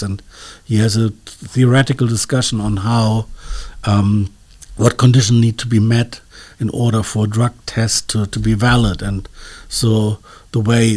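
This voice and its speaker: A man's voice: lowish voice, darkish tone. A man speaking as an expert interview guest, explaining in a hesitant, scholarly way and searching for his words.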